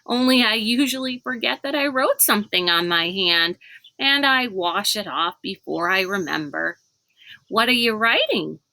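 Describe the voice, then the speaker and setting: A woman's voice: mid-range voice, normal speed, sharp tone, dry recording, low-quality recording. A woman voicing a cheerful, dim-witted, chatty male school minder in a children's storybook, breezy and guileless. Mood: guileless, hurried. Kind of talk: children's storybook read aloud.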